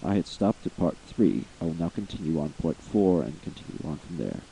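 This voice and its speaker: A man giving a dramatic poetry reading as voice-acting practice, expressive and emphatic, lingering on repeated words. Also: sits low, a little fast, creaky, slight background noise, poor audio. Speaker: amateur voice actor reading a poem.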